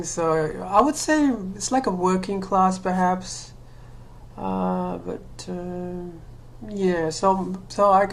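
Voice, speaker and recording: A woman with a low, deep voice speaks to her camera in a loose, thinking-aloud monologue. She hedges and restarts often, leaning on "um" and "you know". A faint hiss sits under the home recording. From Sri Lanka. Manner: hesitant, many fillers and restarts, laughs self-consciously. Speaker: vlogger. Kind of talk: casual personal monologue.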